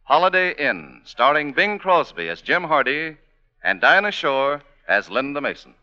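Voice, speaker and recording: A man's voice: fairly high pitch, very animated, normal speed. An American man announcing and narrating a radio show in a 1940s broadcast style. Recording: muffled old radio broadcast, loud music behind.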